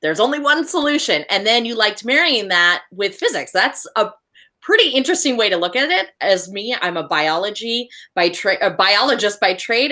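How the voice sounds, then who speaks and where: A woman's voice: mid-range voice, sharp tone. A woman hosting a live online session, upbeat and encouraging. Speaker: host.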